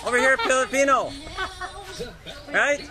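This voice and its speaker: A man's voice: very high-pitched, unhurried, poor audio. A middle-aged man from Canada walking through a village and chatting with locals for his travel vlog, in short simple phrases. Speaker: travel vlogger. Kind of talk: casual walking vlog chat. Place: outdoors in a busy village neighborhood. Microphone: action camera held or worn on body. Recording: outdoor crowd and street noise.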